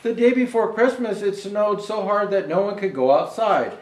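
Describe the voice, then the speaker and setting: A man reading a children's storybook aloud as Santa, reading haltingly and pausing for asides to show the pictures. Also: high voice, expressive, reverberant. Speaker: storyteller presenting as Santa Claus.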